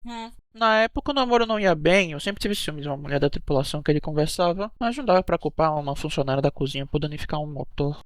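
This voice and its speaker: A woman acts out a scheming young cruise hostess with a Brazilian accent. She talks quickly and swings widely in pitch, touchy and gossipy, in a dry animated-film recording. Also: no room sound. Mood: explaining. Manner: defensive, gossipy, bickering. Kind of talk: animated murder-mystery dialogue.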